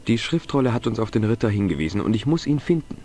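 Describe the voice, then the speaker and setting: An easygoing young adventurer from a video game, low in pitch and full of curiosity. He has a wry, light touch, and music plays behind him. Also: sing-song, fast talker, warm tone. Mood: earnest.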